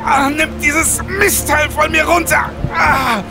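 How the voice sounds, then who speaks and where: Guy doing a gravelly trapped lumberjack, high strained voice, slow and heavy. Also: fairly even intonation, loud music behind the voice, over a noisy crowd, heavily acted. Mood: pained. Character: injured lumberjack pinned under a log, tough. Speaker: lumberjack Buck.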